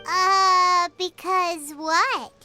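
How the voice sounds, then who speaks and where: A woman voicing a tiny, excitable fairy girl companion in a video game, squeaky, childlike and chatty. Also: extremely slow, faint music behind, heavily acted. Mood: curious, puzzled. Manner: bubbly, exclamatory, speaks of herself in third person.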